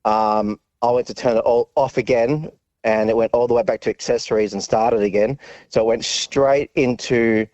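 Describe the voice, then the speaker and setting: A man's voice: mid-range voice, fairly even intonation, moderate pace, darkish tone, no room sound. A man, a radio caller telling a casual anecdote and explaining it step by step. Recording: muffled, dry phone-in line.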